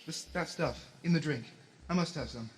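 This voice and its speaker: A man's voice: on the high side, moderate pace, slight background noise, natural voice. A man playing a fawning, drug-addled commissioner in an audio drama, hesitant and pleading. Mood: pleading, craving. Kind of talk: amateur audio drama acting.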